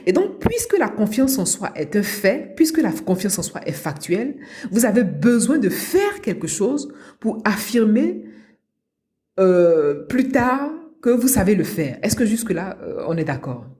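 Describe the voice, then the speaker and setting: A woman's voice: medium pitch, big pitch swings, measured pace, dark tone. A middle-aged woman from Ivory Coast, giving a motivational coaching talk in a didactic way, repeating key points for emphasis. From Abidjan, Ivory Coast. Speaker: personal development coach, podcast host.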